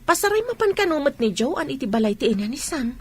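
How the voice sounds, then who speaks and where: A woman's voice: high voice, sing-song, quick pace, slight background noise. Woman playing an inquisitive, concerned friend. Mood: curious, concerned. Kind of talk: radio drama acting.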